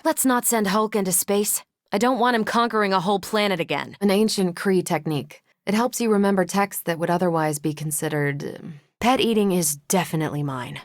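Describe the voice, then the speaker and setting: Casting: actress as confident female superhero soldier for a video game, lowish voice, dry close studio sound, quippy, casual and brash yet friendly. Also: no echo, natural voice.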